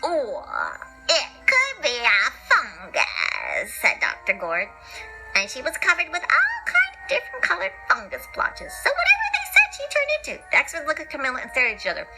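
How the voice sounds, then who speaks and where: High, very animated woman storyteller. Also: fast talker, low-quality recording. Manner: playful, improvised asides and repetition. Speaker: storyteller, podcast host. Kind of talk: theatrical children's picture book read-aloud. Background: music.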